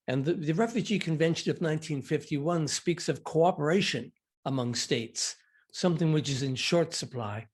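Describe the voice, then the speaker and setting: An older man from Canada, a law professor, introducing himself and presenting on a panel in a measured, deliberate way. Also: a little high, darkish tone.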